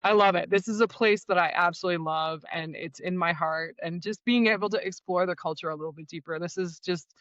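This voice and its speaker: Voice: mid-range voice, fairly even intonation, brisk, hardly any pauses, fairly bright tone, dry recording. A podcast host chatting casually with guests, full of fillers and restarts. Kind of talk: casual interview chat. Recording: dull lo-fi sound.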